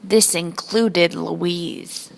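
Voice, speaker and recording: A woman reading a children's story aloud in a dramatic, deliberate storytelling manner. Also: fairly low pitch, fairly even intonation, creaky. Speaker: storybook reader. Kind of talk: scripted children's story narration, read aloud expressively. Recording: long hall-like reverb.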